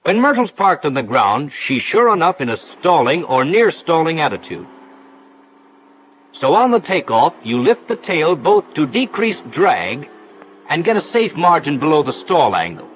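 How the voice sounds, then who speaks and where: An actor playing a breezy instructor, narrating a 1940s pilot training film in a folksy, chummy way. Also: male voice, on the high side, big pitch swings, measured pace, poor audio. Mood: folksy, playful. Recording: 1940s film soundtrack with faint noise.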